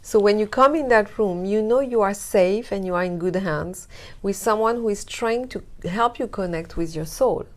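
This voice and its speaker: A woman from Morocco, a guest speaking slowly and softly in an interview, drawing out her phrases with breathy trailing words. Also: mid-range voice. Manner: slow and deliberate, drawn-out phrases with soft breathy trailing words. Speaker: guest, wellness brand founder. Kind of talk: slow, soft-spoken interview talk.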